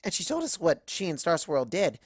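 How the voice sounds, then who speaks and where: A woman's voice: on the low side, huge pitch swings, brisk, slightly rough, no room sound, studio quality, heavily acted. A woman voicing a hyper, cheerful, scatterbrained cartoon pony who rambles gossipy first-person fan fiction narration. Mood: chatty, rambling. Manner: bubbly, rambling, gossipy, exclamatory.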